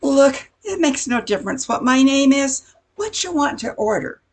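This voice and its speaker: A woman playing a blunt, impatient diner waitress in an amateur radio comedy, with a husky voice. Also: high-pitched, sing-song, normal speed. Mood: exasperated. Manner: blunt, sassy.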